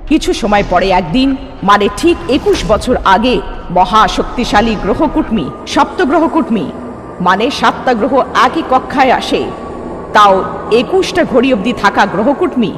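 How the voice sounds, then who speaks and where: A man's voice: extremely high pitch, expressive, normal speed, background noise. A man voicing a grave village mystic aunt in a cartoon, giving solemn, emphatic warnings and explanations. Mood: grave recounting. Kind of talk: dubbed Bengali cartoon dialogue. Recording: long reverb, music underneath.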